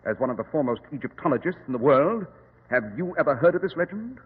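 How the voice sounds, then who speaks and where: Self-assured detective, formal diction, husky edge, band-limited old radio drama sound, theatrical, precise. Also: male voice, a little high, sing-song, fast, big-room sound, phone-quality sound. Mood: probing, brisk.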